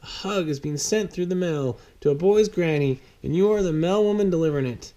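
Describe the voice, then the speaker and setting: A man voicing a brisk, proper woman supervisor in a storybook. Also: on the high side, mellow, voice pushed up, lightly acted. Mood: businesslike.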